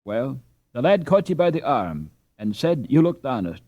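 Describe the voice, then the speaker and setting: A man's voice: mid-range voice, sing-song, moderate pace, mellow, no room sound, pitched above the performer's own voice. A man voicing an anxious, plain-spoken Dutch housewife in an audiobook, fussing and soothing in folksy, old-fashioned speech. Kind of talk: audiobook character dialogue.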